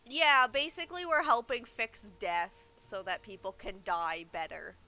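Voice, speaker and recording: A woman's voice: medium pitch, very animated, a little slow, bright, forceful tone. A woman game streamer giving casual, rambling live commentary and explaining the story as she plays, often breaking off to restart her thought. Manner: informal, rambling, self-interrupting.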